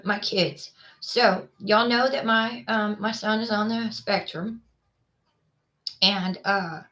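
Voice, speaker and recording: A woman's voice: medium pitch, sharp tone. Frank Texan woman chatting casually. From Texas. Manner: rambling, frank, with many fillers and restarts. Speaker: vlogger. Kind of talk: casual chat.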